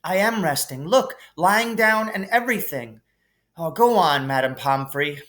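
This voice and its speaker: A man's voice: a little high, animated, a little slow, fairly bright tone. A man voicing an earnest, curious schoolboy hero in a thoughtful way. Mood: pleading.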